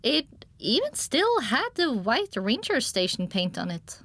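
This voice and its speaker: A woman's voice: on the high side, wildly swooping pitch, measured pace, fairly bright tone. A woman reading scripted first-person story narration with a descriptive storytelling delivery. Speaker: audiobook narrator.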